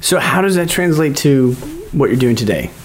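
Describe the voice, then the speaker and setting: A man's voice: mid-range voice, lively intonation, normal speed. Chatty male podcast host. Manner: loose and chatty, laughs often, many fillers. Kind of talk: casual interview chat. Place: home garage podcast studio.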